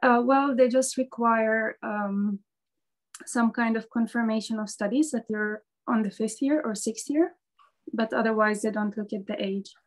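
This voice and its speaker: A woman's voice: fairly high pitch, a little slow. A woman medical student, not a native English speaker, giving an informal talk over a video call and often repeating words as she restarts.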